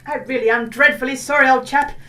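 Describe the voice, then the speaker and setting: Woman acting high-voiced, melodramatic posh lady storyteller. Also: fairly even intonation, fairly quick, pushed and bright, recorded from a distance. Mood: apologetic, brisk. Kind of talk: theatrical audio drama acting.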